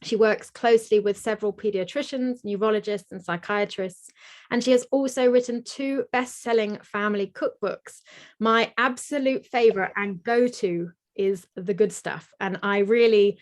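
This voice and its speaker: A woman's voice: medium pitch, fairly even intonation, moderate pace. A woman hosting a webinar, introducing her guest in an admiring way with fillers and repeated words. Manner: warm, admiring, with fillers and restarts. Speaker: webinar host.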